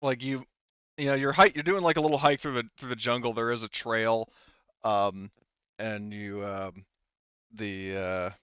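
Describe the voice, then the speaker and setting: Male game master, clear smooth tone, informal hesitant improvised narration, dry and slightly muffled recording. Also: medium pitch, steady tone, measured pace, a bit bright, no echo. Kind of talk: casual improvised tabletop narration.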